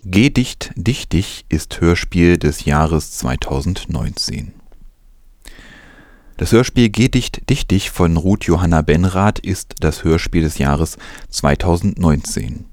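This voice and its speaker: A man's voice: low-pitched, takes it slowly, darkish tone, no room sound, clean studio sound. A man presenting news, reading from a script in a narration style.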